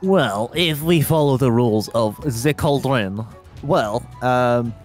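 A man's voice: medium pitch, slow. A man improvising in a game roleplay, hesitant and playfully matter-of-fact as he talks. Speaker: player in a Minecraft roleplay. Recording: faint music behind the voice.

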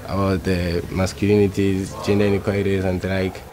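A man's voice: sits low, flat delivery, a little fast, noisy background. A man with a Malawian accent, explaining as a program participant in a documentary interview.

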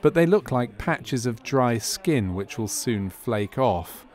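A man's voice: mid-range voice, expressive, takes it slowly, darkish tone. A man reading scripted narration for a medical training film.

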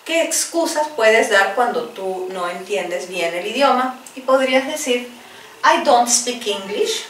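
A woman in her forties with a Spanish accent, teaching English phrases at a whiteboard and repeating each one slowly and clearly. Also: fairly high pitch, fairly bright tone, slight background noise. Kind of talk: bilingual English lesson, drilling phrases. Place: small classroom in front of a whiteboard. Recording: roomy, distant camera audio.

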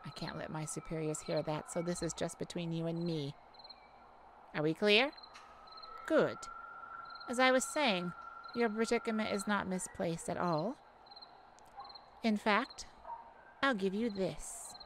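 A woman's voice: on the low side, background noise. A woman playing a haughty yet kindly goddess of light in an ASMR audio roleplay, theatrical and teasing. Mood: confiding, gentle. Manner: theatrical, teasing, mock-offended, with pauses.

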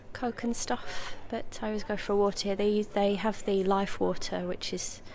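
A woman with a slightly croaky voice giving a slow, gentle, partly whispered tour of a quiet café. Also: mid-range voice, rough recording. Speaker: ASMR vlogger giving a tour. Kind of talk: soft-spoken whispered tour. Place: empty indoor café with people chatting nearby. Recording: background chatter from a nearby meeting.

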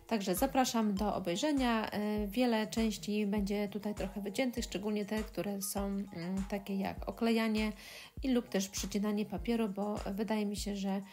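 A woman talking through a step-by-step scrapbooking tutorial, pausing often with filler sounds. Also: mid-range voice, steady tone, darkish tone, faint music behind. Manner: hesitant, many filler sounds. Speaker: crafting tutorial host. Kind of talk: step-by-step craft tutorial voice-over.

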